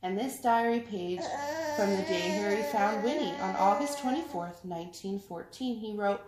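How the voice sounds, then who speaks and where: A woman reading a children's story aloud as a tutor, with expressive, deliberate phrasing. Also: mid-range voice, over a noisy crowd. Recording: echoey room, clear background noise, clicks.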